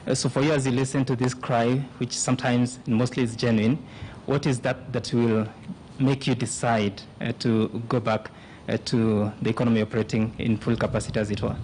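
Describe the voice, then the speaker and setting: A man putting formal questions as a journalist, polite, measured and wordy. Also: medium pitch, level tone, darkish tone, slight background noise, poor audio.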